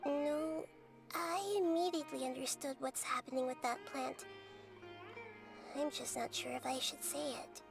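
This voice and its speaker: A small, childlike goddess with a very high, girlish voice and a gravelly edge of fry. She speaks softly and carefully, thoughtful and wise beyond her size. Game music plays quietly behind her. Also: steady tone, unhurried. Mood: pensive. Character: gentle wise childlike goddess, girl-sized.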